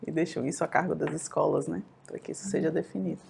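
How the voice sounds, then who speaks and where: A Brazilian woman host with a low, gravelly, husky voice moves the panel along at a quick clip. She affirms what guests say and passes the floor on briskly. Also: fairly even intonation, a little mellow. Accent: Brazilian Portuguese. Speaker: podcast host and moderator. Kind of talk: moderating a panel discussion.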